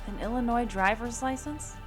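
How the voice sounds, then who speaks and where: Girl playing eager wry adventurer in a radio play, bit high voice, music behind. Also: normal speed, natural voice. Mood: startled. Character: plucky, casual female adventure enthusiast, wry and eager. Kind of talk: audio adventure drama acting.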